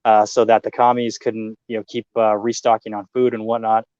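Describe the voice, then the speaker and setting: Measured man on a muffled, dry line. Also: on the low side, completely flat delivery, darkish tone, dry recording. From United States. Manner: measured, explanatory, many uh fillers. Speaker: guest. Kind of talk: casual interview talk.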